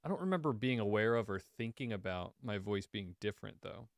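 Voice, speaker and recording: Deep gravelly-voiced man co-host. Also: expressive, brisk, no echo, clean studio sound. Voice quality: deep baritone. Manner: easygoing, rambling. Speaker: podcast co-host. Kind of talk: casual chat.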